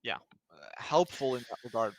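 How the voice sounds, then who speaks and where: A man's voice: mid-range voice, very animated, normal speed. A man from Belgium answering interview questions in an explanatory way, hesitant and often restarting his sentences. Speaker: interview guest speaking for a blockchain project.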